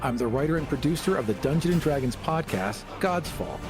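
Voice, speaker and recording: A man's voice: fairly high pitch, lively intonation, a little fast, music in the background. A man hosting a podcast in casual discussion, earnest and opinionated in how he talks. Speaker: podcast host and producer.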